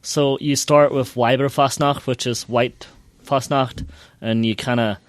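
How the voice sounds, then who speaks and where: A man's voice: medium pitch, steady tone, unhurried, no echo. A man co-hosting a podcast, telling stories casually with emphatic, repetitive phrasing. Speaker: podcast co-host. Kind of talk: casual storytelling.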